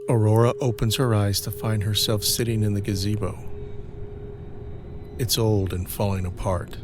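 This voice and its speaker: A man giving measured, suspenseful scripted narration for an audio drama. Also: lowish voice, flat delivery. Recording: clear background ambient noise.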